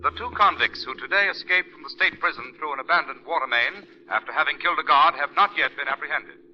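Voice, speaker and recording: An actor playing a formal radio newsreader delivering a bulletin in an old-time radio drama. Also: male voice, fairly high pitch, quick pace, lightly acted. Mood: urgent, formal. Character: brisk, formal radio newsreader announcing a prison escape. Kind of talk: 1940s-style radio news bulletin acting. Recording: muffled old radio, faint hum.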